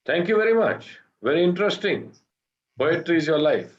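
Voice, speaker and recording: A man's voice: a little high, very animated, moderate pace, highs cut off. A man moderating an online panel, politely reading out questions to the guests. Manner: reads out questions, polite and brief. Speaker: webinar moderator. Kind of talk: moderating a webinar.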